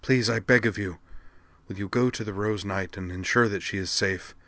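A tiny, worn-out master mage from a fantasy storybook, as a male narrator plays him. His voice is soft, low and a little raspy, and very closely recorded. He speaks in solemn, formal phrases with weary urgency. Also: fairly even intonation, moderate pace, no echo. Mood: grave pleading. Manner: formal, solemn, earnest speech. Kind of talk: fantasy audiobook character dialogue.

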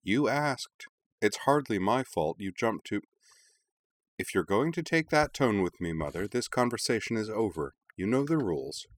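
A man's voice: on the low side, lively intonation, moderate pace, no echo, pitched above the performer's own voice. A man voicing an exasperated young woman in an audiobook, clipped, firm and dryly sarcastic. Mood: irritated. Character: young woman, sharp-tongued, exasperated with her mother. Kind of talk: audiobook character voice.

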